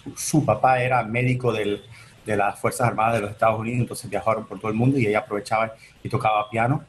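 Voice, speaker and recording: A man's voice: on the low side, fairly even intonation, fast talker, a little hiss or hum, rough recording. A man in his forties, born in Venezuela and raised in the United States, answering interview questions in a chatty way with many restarts over a video call. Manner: chatty, many fillers and restarts, gestures while talking. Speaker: entertainment attorney, guest. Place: home office on video call.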